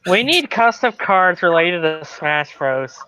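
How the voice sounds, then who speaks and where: A man's voice: sits high, big pitch swings, takes it slowly, bright, forceful tone, clear, resonant tone, dry recording. A man chatting offhandedly on a group voice call, pushing plans for the evening. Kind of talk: casual group voice chat. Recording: dull dry voice-call audio.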